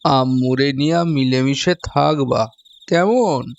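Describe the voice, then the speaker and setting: A man's voice: mid-range voice, dull audio. A man playing an affectionate village father in an audio drama, speaking tenderly in a rural Bengali dialect. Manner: slow, tender, drawn-out. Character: affectionate village father, a jaggery trader, speaking to his son. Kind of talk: audio story acting. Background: background music.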